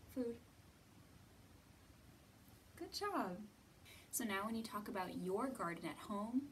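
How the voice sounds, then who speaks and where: An American woman in her thirties speaks as a children's storytime host, in a slightly high voice. She takes her time, with simple, encouraging instructions for young listeners. The mic sits a little way off in an indoor room, with faint noise behind her. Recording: distant mic with background hiss.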